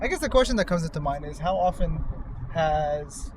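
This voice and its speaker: American man, fairly high voice, questioning manner, casual co-host chat, clear road noise in a car. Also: animated, moderate pace. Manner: questioning, probing. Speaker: show co-host. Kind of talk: casual discussion while riding in a car. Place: inside a moving car.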